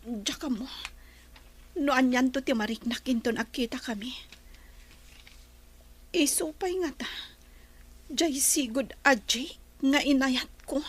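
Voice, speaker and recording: High-voiced, troubled Filipino mother. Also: slow, long pauses, breathy edge, full character voice. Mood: wistful. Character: troubled, tender mother hiding a painful past. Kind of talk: radio drama acting.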